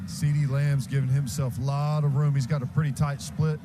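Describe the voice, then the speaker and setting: A man's voice: fairly high pitch, level tone, fairly quick, noisy background. An American man working as a radio color analyst, breaking down football plays in a conversational, technical way. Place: stadium radio booth.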